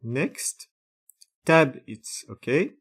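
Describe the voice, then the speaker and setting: A man, methodically narrating a screen-recorded software tutorial step by step, with frequent filler sounds. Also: on the high side, big pitch swings, very slow, slightly rough.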